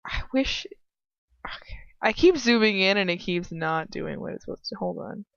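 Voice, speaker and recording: A woman's voice: medium pitch, lively intonation, normal speed, gravelly. A woman doing an amateur read-aloud of fan fiction in a casual, irreverent way, stumbling and breaking into chatty asides. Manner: irreverent, giggly, swearing asides, stumbles while reading. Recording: muffled lo-fi home recording, roomy echo.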